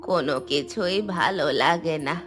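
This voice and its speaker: An actress playing an ailing, resigned old village aunt in an audio drama, speaking plaintively. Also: female voice, deep voice, moderate pace, fairly bright tone, faint music behind. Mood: weary, plaintive. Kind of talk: acted audio story dialogue.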